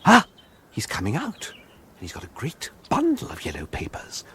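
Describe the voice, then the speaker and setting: An actor playing a fussy, excitable English village bachelor in a radio comedy, prim and hesitant in manner. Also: male voice, medium pitch, moderate pace, a little hiss or hum. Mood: puzzled, inquisitive. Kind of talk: radio comedy drama acting.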